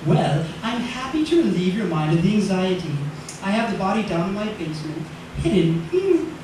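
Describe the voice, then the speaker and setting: A man's voice: high voice, big pitch swings, moderate pace. A man playing a smug, menacingly genial proprietor in an old-time radio drama, knowing and mock-polite. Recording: very echoey, distant, noisy.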